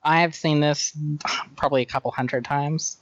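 A man's voice: a little high, steady tone, normal speed, dry recording, muffled recording. A man talking as a podcast guest, casually telling anecdotes and stating opinions.

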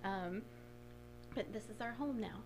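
Creaky-voiced Midwestern American woman, chatting casually. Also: mid-range voice, unhurried, rough recording. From rural Ohio, United States. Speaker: interview guest, bakery co-owner. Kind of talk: casual conversational answers.

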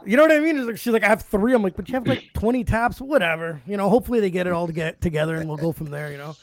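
A man's voice: high voice, racing. A man in his forties from Canada, hosting a casual podcast in a loose, slangy, chatty way. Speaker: podcast host.

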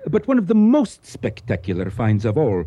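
A learned, nostalgic gentleman in a radio drama, speaking in florid, courteous phrases. The voice is deeply mellow and husky, with lively swoops of pitch. The recording is somewhat dull. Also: moderate pace. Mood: absorbed storytelling. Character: courtly Egyptian man, learned, nostalgic storyteller.

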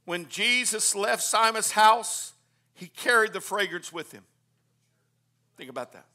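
A man preaching a Pentecostal sermon, swinging from hushed, quick asides to shouted hallelujahs. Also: high voice, expressive. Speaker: pastor. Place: church.

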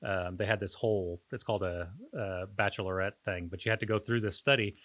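A man co-hosting a podcast in casual, reflective conversation, pausing and searching for his words as he talks. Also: low voice, fairly even intonation, a bit bright. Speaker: podcast co-host.

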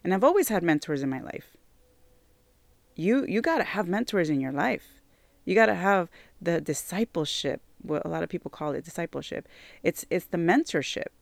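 A woman in her forties giving an earnest personal faith testimony, slightly hoarse from allergies, repeating phrases for emphasis and filling with "like" and "you know". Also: on the low side, no echo. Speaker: church member sharing her testimony.

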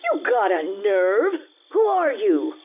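A woman's voice: mid-range voice, wildly swooping pitch, slow pace, a little hiss or hum. A woman playing a brash, sassy young dancer with slangy delivery in old-time radio drama, through a vintage radio filter. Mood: indignant. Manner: brassy, slangy. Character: brash young Roman dancing girl, sassy and vain. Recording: tinny vintage radio sound.

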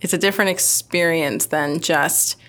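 A woman's voice: low-pitched, moderate pace, a bit bright. A woman chatting casually, laughing often and talking with many fillers. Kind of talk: casual chat.

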